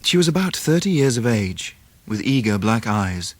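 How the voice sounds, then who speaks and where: An actor playing a polite, earnest young English gentleman who tells his own story in a radio drama. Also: mid-range voice, very animated, moderate pace, dry recording. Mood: reflective narrating. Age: young adult. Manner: courteous, earnest, well-spoken. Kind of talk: 1990s radio drama acting, with narration.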